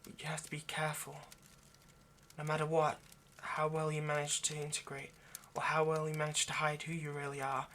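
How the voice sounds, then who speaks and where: A man's voice: fairly high pitch, completely flat delivery, moderate pace, a little hiss or hum. A man playing an earnest, lovestruck vampire in an intimate roleplay monologue, speaking hesitantly and persuasively. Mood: solemn, cautioning. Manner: hesitant, stammering, gently persuasive. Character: earnest, lovestruck male vampire coaxing a partner.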